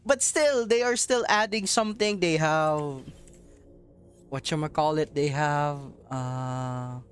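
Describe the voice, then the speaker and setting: A man's voice: a little high, takes it slowly, clean tone, soft background music. A man from Southeast Asia giving rambling, casual gameplay commentary, laughing often and swearing offhandedly. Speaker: mobile game streamer.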